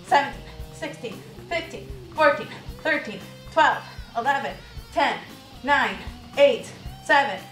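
A woman's voice: fairly high pitch, a bit bright, far from the mic. A woman in her thirties giving upbeat workout instruction and counting out reps, recorded in a home gym by a camera across the room. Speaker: fitness trainer. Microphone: camera mic from across the room. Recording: echoey room, voice from afar, faint music.

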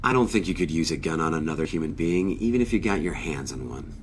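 A man's voice: very deep voice, expressive, fast. A man playing a dry, guarded companion in an audio drama, with a laconic, teasing way of talking. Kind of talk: naturalistic audio drama acting. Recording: faint background noise.

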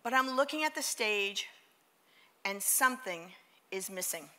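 A middle-aged woman with a New York accent giving a lively motivational talk on a theater stage, drawing the audience into call-and-response. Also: mid-range voice, measured pace. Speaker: keynote speaker.

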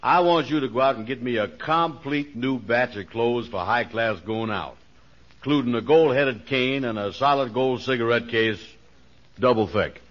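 An actor playing a boastful, slow-witted man in a 1940s radio comedy, pompous and bumbling, with folksy ungrammatical speech. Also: medium pitch, a bit bright, close to an everyday voice. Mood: flustered, blustering. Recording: muffled, hissy 1940s radio recording.

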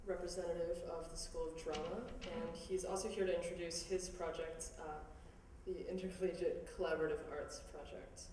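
A woman moderates a campus town hall, introducing speakers softly and haltingly. Her voice sits low with a mellow, dark tone. The recording is distant and very echoey. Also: level tone, slight background noise. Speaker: event moderator. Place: large auditorium stage.

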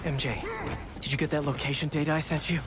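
A man's voice: a little high, steady tone, quick pace, natural voice. A man acting a quippy, friendly young superhero in a video game, with an American accent and a chatty manner. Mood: brisk, friendly. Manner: chatty, wisecracking. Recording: loud game music behind the voice.

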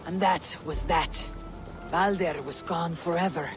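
A woman playing a stoic, weathered Viking warrior in a game, speaking in a measured, grave and formal way. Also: on the low side, music in the background, natural voice. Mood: solemn storytelling. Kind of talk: dramatic game cutscene acting, storytelling.

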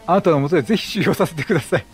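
A man's voice: a little high, racing, husky, faint music behind. A man voicing an earnest, humble young knight from a video game, speaking in a formal, chivalrous way. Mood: awed.

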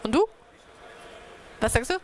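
A young woman, a native speaker, giving an informal talk to a small group about community networks, hesitant with many fillers. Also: unhurried. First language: German. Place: dark event room, on stage.

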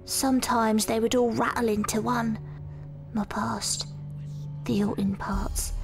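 Woman playing haunted young Victorian woman, hushed near-whisper narration, very gravelly voice. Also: fairly high pitch. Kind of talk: first-person audio drama narration.

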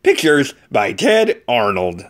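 A storyteller reading a children's picture book aloud in an expressive, dramatic way. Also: mid-range voice, takes it slowly, dry recording, clean studio sound. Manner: animated, dramatic.

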